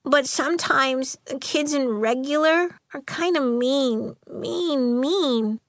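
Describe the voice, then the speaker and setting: A shy schoolgirl, as a woman narrator plays her, speaks in a high, small voice. She is soft and halting, earnest and sad, often repeating a word as she tells her troubles in a storybook. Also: dry recording, clean studio sound. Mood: earnest, wistful. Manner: soft-spoken, halting, repeats words in threes.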